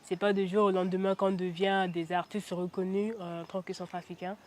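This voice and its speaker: A woman's voice: on the low side, level tone, fairly quick, a little hiss or hum. A young woman from the Central African Republic giving casual interview answers, chatty and often hesitating with "euh". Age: young adult. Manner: chatty, frequent hesitations with "euh", laughs now and then. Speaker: interviewee, beauty pageant titleholder.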